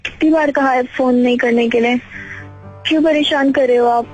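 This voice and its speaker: A woman's voice: high-pitched, steady tone, normal speed, highs cut off. A young woman speaking emotionally in a phone conversation. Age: young adult. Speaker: radio prank call recipient. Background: background music.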